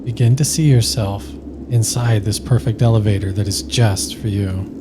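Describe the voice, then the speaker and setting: A man narrating a scripted guided sleep meditation slowly and soothingly, with long pauses. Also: on the low side, steady tone, heavy background noise.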